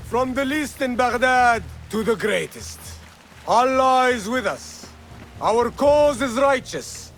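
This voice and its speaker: An actor playing a male rebel leader who rouses his followers, speaking in a slow, oratorical way. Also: extremely high pitch, fairly bright tone, heavily acted. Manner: rousing, oratorical. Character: male rebel leader, fiery preacher of revolt. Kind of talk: video game cutscene acting. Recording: game audio with music.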